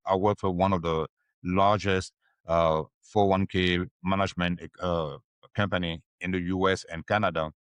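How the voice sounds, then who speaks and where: A man's voice: low voice, clear, resonant tone, dry recording. A man from Ivory Coast with an Ivorian French accent, a native French speaker, answering interview questions hesitantly with many fillers. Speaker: podcast guest. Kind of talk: casual interview talk.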